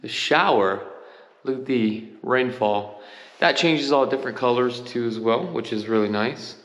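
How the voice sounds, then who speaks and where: A man's voice: mid-range voice, a little slow, fairly bright tone. A man giving a casual, enthusiastic walkthrough of a house for sale, pointing out details as he films on a handheld camera. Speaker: real estate agent giving a home tour. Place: empty house, large bare rooms. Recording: long reverb of empty rooms.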